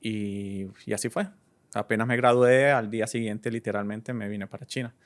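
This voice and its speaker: A Colombian man with a Cúcuta accent talks easily in an interview. His voice is low and smooth, and he pauses often on "eh" as he searches for the next word. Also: steady tone. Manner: easygoing, hesitant, many "eh" pauses. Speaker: guest DJ and entrepreneur. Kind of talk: relaxed interview answers.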